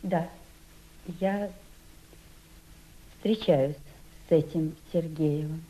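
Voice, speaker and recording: An actress playing a homesick, quietly defiant Russian émigré woman in a Soviet radio drama, with a lyrical, dreamy delivery. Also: low voice, extremely slow, a little hiss or hum. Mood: reluctant, bitter. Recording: dull lo-fi radio play recording.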